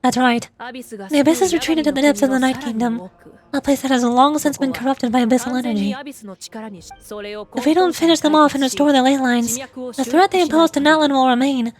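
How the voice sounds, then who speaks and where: A woman's voice: high-pitched, brisk, a crowd in the background, natural voice. A woman voicing a determined, burdened female warrior ruler with earnest resolve, in fantasy game dialogue. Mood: resolute. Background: music.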